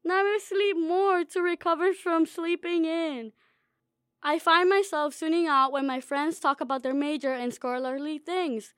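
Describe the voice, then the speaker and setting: A young woman with a very high, light voice reads her own personal essay aloud. Her delivery is wry and dreamy, and she pokes fun at herself. The recording is dry and close, with no room sound. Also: steady tone, a little slow, a bit bright, no room sound. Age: young adult. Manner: wry, dreamy, playfully self-mocking. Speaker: student author reading her own essay.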